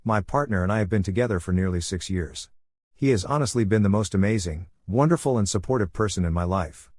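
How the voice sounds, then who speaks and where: Man, low voice, dry close sound, steady storytelling read. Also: fairly quick, dry recording. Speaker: story reader. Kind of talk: reading online posts aloud.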